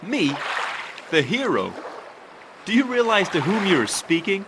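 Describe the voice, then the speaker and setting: A young actor playing a vain, boastful fairy-tale hero who talks in a brash, impatient way in a game. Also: male voice, high voice, very animated, unhurried, noisy background, heavily acted. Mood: arrogant. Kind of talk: game voice acting.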